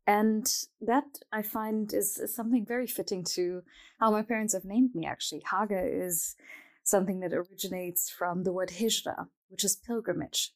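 A reflective young woman from Germany, Arabic her mother tongue, telling a personal story in a soft, unhurried way. She pauses to find her words and keeps the tone calm and sincere. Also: mid-range voice. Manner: thoughtful, soft-spoken, with pauses and small laughs. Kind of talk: reflective personal testimony to camera.

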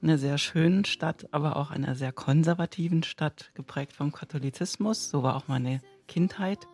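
A woman in her late fifties from Germany, telling her personal story candidly and with animation, with soft music underneath. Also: low voice, moderate pace, breathy edge. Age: 50s. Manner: candid and lively, with laughs. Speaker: interviewee.

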